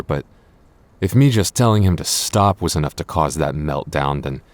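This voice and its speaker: Male actor as a jealous boyfriend, low-pitched, earnest, possessive yet tender, hesitant stammering, intimate audio roleplay. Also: darkish tone, dry recording.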